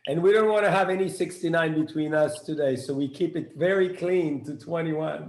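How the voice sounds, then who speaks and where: A man hosting an interview, talking quickly and effusively as he poses questions. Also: on the high side, fairly even intonation. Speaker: host. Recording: echoey room.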